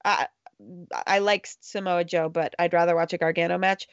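A woman's voice: on the low side, steady tone, moderate pace, smooth, no room sound, dull audio. A woman co-hosting a casual podcast chat, teasing and wry.

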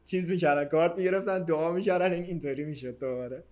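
A man's voice: a little high, a little mellow. A man, talking as a podcast host in casual, slangy speech sprinkled with English words. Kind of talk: casual conversational podcast chat.

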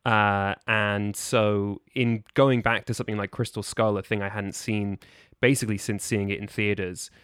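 A man speaking as a podcast guest in casual conversation, with a halting, discursive delivery. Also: on the low side, level tone, fairly bright tone, clear, resonant tone, dry recording, clean studio sound. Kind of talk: casual chat.

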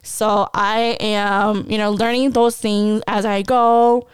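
A woman's voice: medium pitch, little pitch movement, slow pace, clear, resonant tone, no room sound. A woman in her twenties talking casually as a podcast host, chatty, laughing often and restarting her sentences. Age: 20s. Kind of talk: casual personal talk.

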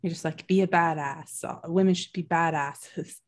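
Woman host, soft-spoken, lower pitch; casual interview. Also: steady tone, moderate pace.

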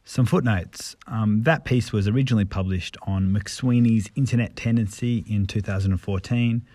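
A man's voice: on the low side, steady tone, normal speed, darkish tone. An Australian man hosting a podcast informally, with a dry, understated delivery. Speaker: podcast host. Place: under a bed sheet at home.

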